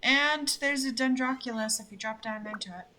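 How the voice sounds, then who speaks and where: A woman's voice: fairly high pitch, normal speed, sharp tone. A young woman chatting casually with a gaming partner, hesitant at times and playful. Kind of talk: casual gameplay chat. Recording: faint background noise.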